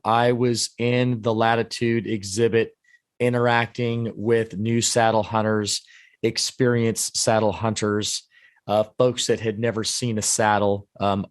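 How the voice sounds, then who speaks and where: A hoarse man co-hosting a podcast, recapping a trade show in long rambling stretches with many restarts. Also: medium pitch, dry recording. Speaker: podcast co-host. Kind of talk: casual podcast chat.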